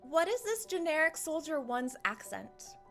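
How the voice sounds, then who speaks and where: A woman's voice: high voice, very animated, a little slow, a bit bright. A woman giving chatty, rambling, playful casual commentary as she plays through a game. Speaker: game streamer. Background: game music.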